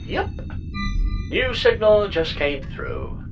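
An actor playing a dry, pedantic, teasing robot companion in a sci-fi audio comedy, with a deadpan, needling manner. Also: medium pitch, slow pace, dull audio, over music, exaggerated voice. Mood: matter-of-fact. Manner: deadpan, matter-of-fact, needling.

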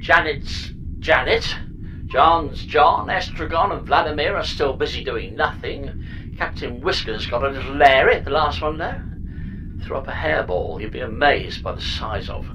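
An actor playing a dry, pedantic, teasing robot companion in a sci-fi audio comedy, with a deadpan, needling manner. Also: mid-range voice, normal speed, noisy background, natural voice. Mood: matter-of-fact. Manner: deadpan, matter-of-fact, needling.

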